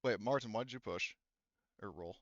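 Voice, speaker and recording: A man working as game master, narrating and refereeing a tabletop roleplaying game in a halting, informal, conversational way. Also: on the low side, a bit bright, dull audio, a little hiss or hum.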